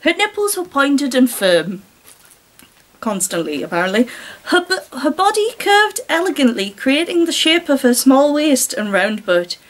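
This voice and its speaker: A woman's voice: high-pitched, sing-song, measured pace. A woman reading a story aloud and breaking off for casual, sarcastic commentary. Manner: wry, sarcastic asides.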